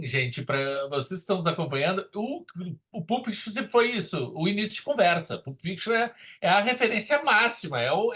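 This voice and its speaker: A man's voice: high voice, sharp tone. A man hosting a casual film discussion, emphatic and opinionated. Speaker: podcast host and film critic.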